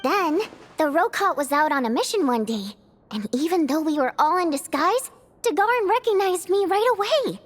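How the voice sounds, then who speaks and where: Very high-pitched, theatrical young lady. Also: normal speed. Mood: chatty, amused. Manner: expressive, dramatic, complaining. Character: lively, theatrical young female stage performer, spirited and indignant. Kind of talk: video game voice acting.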